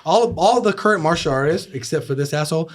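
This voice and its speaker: A man's voice: on the high side, lively intonation, fast, a loud crowd. A man from Hawaii in casual group chat, jokey, profane and slangy, with background noise.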